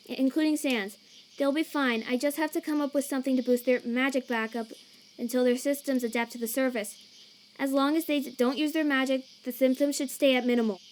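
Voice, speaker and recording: Woman playing a stammering, high-voiced monster scientist. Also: fairly even intonation, slight background noise, lightly acted. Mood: earnest, reassuring. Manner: stammering, hesitant, halting. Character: nervous female monster scientist, earnest and anxious. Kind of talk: fan comic dub acting. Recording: chirping crickets and night ambience behind.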